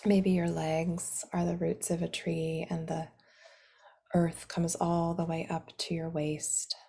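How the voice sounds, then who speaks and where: A woman leading a guided meditation in a soothing, instructing manner, some phrases softening into a breathy near-whisper. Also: fairly low pitch, level tone, slow pace.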